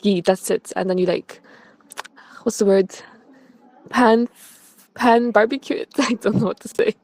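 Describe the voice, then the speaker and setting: A young woman from Egypt, a student, answering interview questions in a relaxed way and sometimes searching for words. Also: medium pitch, a little slow. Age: young adult. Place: at home.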